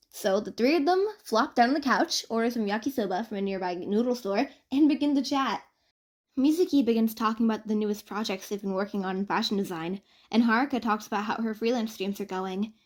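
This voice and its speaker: A woman reading fan fiction aloud as a narrator, in an expressive audiobook style. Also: a little high.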